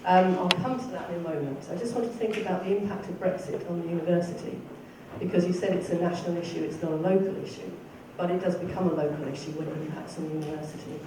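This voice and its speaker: A council candidate in a debate, speaking in a measured, explanatory way. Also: medium pitch. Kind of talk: debate speech. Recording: echoey hall, far from mic, noise.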